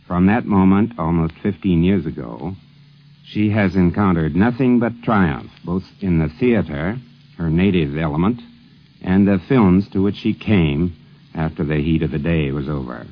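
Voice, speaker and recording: A man, middle-aged, narrating a scripted 1950s radio tribute in a formal, measured, literary manner. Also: deep voice. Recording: dull, muffled 1950s radio recording.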